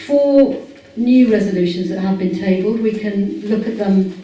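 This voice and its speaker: A woman's voice: mid-range voice, moderate pace, mellow, a little hiss or hum. A woman chairing a public meeting, hesitant with many fillers as she puts questions to the room. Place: large echoey meeting hall. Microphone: far camera microphone. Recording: echoey hall recorded from far off.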